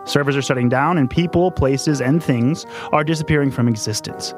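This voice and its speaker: A man's voice: mid-range voice, fairly quick, music in the background. Man steadily reading a premise aloud. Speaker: improv podcast performer.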